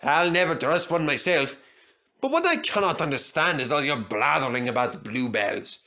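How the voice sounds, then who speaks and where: A man's voice: fairly high pitch, sing-song, normal speed, pushed and bright, exaggerated voice. A man voicing a grumbling, fierce-hearted steam engine in a children's story, blunt and emphatic. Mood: fierce, bitter.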